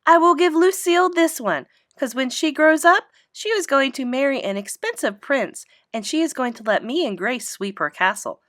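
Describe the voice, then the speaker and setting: A woman voicing an excitable, blunt little kindergarten girl with gushing, childish, emphatic speech for a storybook. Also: high voice, moderate pace, few pauses, no echo, clean studio sound, pitched above the performer's own voice. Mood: proud, gushing.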